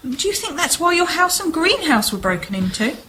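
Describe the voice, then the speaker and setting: A woman's voice: extremely high pitch, sing-song, a little fast, bright, forceful tone, slight background noise, heavily acted. A woman acting a polite, shrewd, inquisitive lady sleuth in an amateur radio play. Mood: intrigued. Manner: polite, probing.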